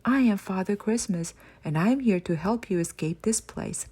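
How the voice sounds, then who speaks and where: A kindly man in disguise from a children's storybook, voiced by a woman reader. He sounds polite and composed, with a calm, sly streak. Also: mid-range voice, moderate pace, breathy edge, natural voice. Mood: sly, secretive. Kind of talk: audiobook character voice.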